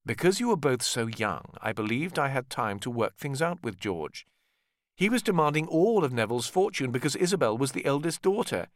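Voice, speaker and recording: A man reading an audiobook, voicing a calm, authoritative elder brother who heads a family empire, measured and assured. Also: medium pitch, no echo, clean studio sound, lightly acted. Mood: reasoning. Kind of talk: audiobook character dialogue.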